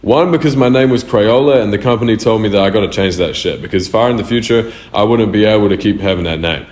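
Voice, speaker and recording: Young kiwi guy rambling answers, cusses a lot, low voice, kinda echoey. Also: fast, a little mellow. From New Zealand. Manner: loose, slangy and profane. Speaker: music artist. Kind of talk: casual answers to interview questions. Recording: roomy echo.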